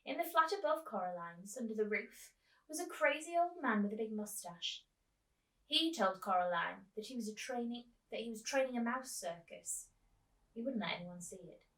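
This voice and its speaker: A woman with a fairly high voice reads to children in an expressive storytime manner. She sounds slightly distant from the mic, in a room with clear echo. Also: normal speed. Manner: expressive, addressing young listeners. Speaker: teacher reading aloud to children. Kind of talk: storytime read-aloud.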